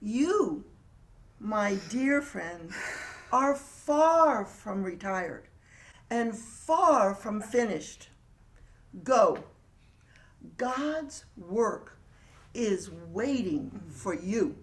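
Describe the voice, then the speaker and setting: Woman playing a very slow, encouraging retired friend. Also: medium pitch, very animated. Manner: deliberate, encouraging. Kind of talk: dramatic reading.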